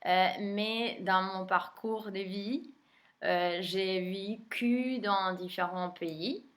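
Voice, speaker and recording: A woman's voice: medium pitch, extremely slow, fairly bright tone. A young adult woman from Argentina, a tarot reader whose native tongue is Spanish, talking casually in French with an Argentine Spanish accent and many hesitant fillers. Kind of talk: casual spoken video introduction.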